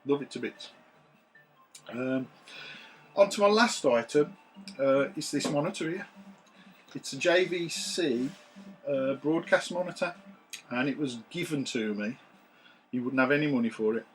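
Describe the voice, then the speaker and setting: A man, his voice a bit rough from recovering after losing it, chatting to camera in a relaxed, rambling way with many ums, recorded on a camera's built-in mic in a small room. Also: mid-range voice, a little slow, pauses often, a little hiss or hum, rough recording. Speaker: retro games collector vlogger. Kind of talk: casual chat to camera. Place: small room full of retro computers.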